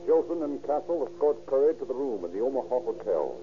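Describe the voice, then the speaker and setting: A man's voice: medium pitch, big pitch swings, fairly quick, close to an everyday voice. A man narrating a scripted police case story for an old radio crime drama, in a sober, dramatic style. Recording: tinny old radio recording. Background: music.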